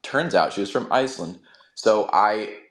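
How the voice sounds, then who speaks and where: A man co-hosts a casual interview in a relaxed, friendly way, using fillers as he talks. His voice is a bit low, and he joins over a remote call. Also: normal speed. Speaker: co-host.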